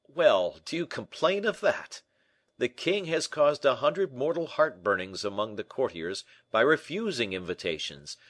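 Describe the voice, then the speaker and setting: Man acting a brisk, animated gentleman friend. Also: medium pitch, dry recording, voice pushed up. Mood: curious. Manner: animated, questioning, slightly impatient. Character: D'Artagnan, brisk, shrewd, exclaiming gentleman friend. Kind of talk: audiobook character acting.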